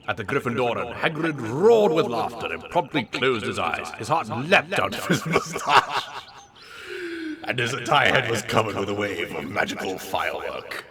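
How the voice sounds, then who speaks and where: A man's voice: lowish voice, pushed and bright. A man reading absurd comic fiction aloud as a host, in a theatrical, mock-serious way with big swings of emphasis.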